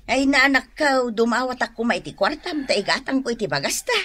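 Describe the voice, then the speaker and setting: A woman's voice: fairly high pitch, expressive, brisk, fairly bright tone. A woman with an Ilocano Filipino accent playing a blunt, exasperated, reproachful woman in a radio drama. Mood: exasperated scolding. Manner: emphatic, scolding.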